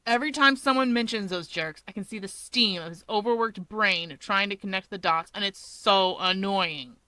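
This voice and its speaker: A woman's voice: mid-range voice, moderate pace, bright, forceful tone, no room sound, close to an everyday voice, low-quality recording. A woman voicing a blunt, irritable teenage boy who complains, in a story. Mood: annoyed.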